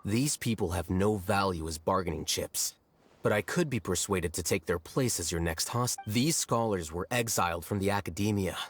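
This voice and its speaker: A man playing a coolly analytical, self-assured male scribe in a video game, deadpan and precise. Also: low-pitched, moderate pace, husky.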